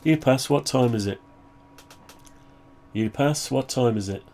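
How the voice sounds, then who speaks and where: A man talking through a project update on his own software, rambling and thinking aloud, voice groggy from the flu. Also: mid-range voice, a little slow, a little hiss or hum. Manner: rambling, thinking aloud, frequent throat clearing. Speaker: hobbyist maker showing his own software. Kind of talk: casual screen-share project update.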